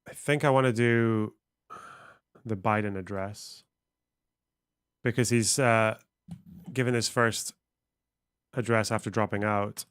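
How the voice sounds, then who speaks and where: Man, smooth clear voice, dry close sound, reads aloud and muses, casual stream commentary. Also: on the low side, steady tone, slow pace, pauses often, a bit bright, dry recording.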